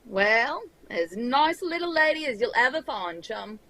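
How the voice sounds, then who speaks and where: A woman's voice: a little high, big pitch swings, moderate pace, bright, forceful tone, heavily acted. A woman voicing a friendly, gossipy male tavern keeper with a Cockney accent in a radio drama. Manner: folksy, gossipy, chummy. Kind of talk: old-time radio drama script read live.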